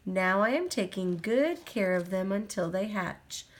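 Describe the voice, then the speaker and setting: A woman reading a children's picture book aloud to a small child at home, gently pointing out things on each page in a sing-song way. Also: medium pitch, expressive, a little slow. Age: adult.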